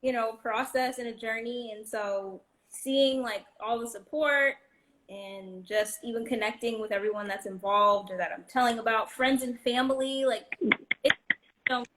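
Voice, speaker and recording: A woman's voice: a little high, normal speed, a bit bright, slight background noise, rough recording. A woman in her late twenties talking as a guest in casual chat, loose and heartfelt with many likes and restarts. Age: 20s.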